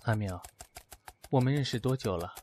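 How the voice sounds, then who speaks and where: A man's voice: medium pitch, moderate pace, dark tone, close to an everyday voice. An actor playing a proud, hurt young man in an audio drama, with a curt, emotional delivery. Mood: wounded. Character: proud, hurt young man, prickly toward his lover. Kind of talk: audio drama acting in Mandarin.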